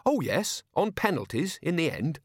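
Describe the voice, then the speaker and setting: A man's voice: a little high, big pitch swings, moderate pace, husky, dry recording, clean studio sound, pitched above the performer's own voice, heavily acted. A man voicing a cheerful, very polite young officer in an audiobook, with a courteous, chipper manner.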